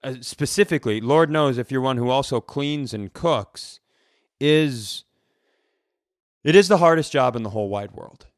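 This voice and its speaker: A man's voice: mid-range voice, normal speed, no echo. Man, podcast co-host, clean resonant voice, dry close sound, casual comic chat, rambling storyteller.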